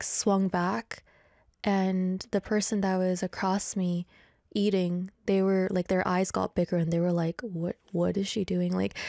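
A woman's voice: fairly high pitch, darkish tone, dry recording, studio quality. A young woman from Canada, a native Korean speaker, hosting a casual radio show and rambling through chatty stories. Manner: rambling, chatty, self-deprecating. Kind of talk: casual chat. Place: indoors in South Korea.

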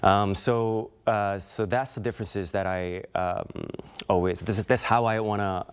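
Man, very low voice, slight Korean accent, thoughtful interview guest, many fillers. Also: fairly even intonation, a little slow, clean tone. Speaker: actor and producer guest. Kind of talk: interview conversation.

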